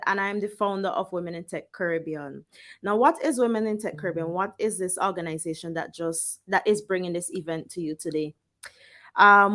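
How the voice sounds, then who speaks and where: A woman who founded the organization, giving the opening speech of a conference and thanking the organizers. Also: a little high.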